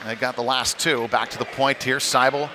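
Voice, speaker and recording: Over clear background noise from an indoor ice rink, a man calls a hockey game live. His voice sits at an average male pitch, and he works through the play in halting pieces, often pausing mid-phrase. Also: fairly even intonation. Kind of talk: live sports commentary. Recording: noisy arena, live stream.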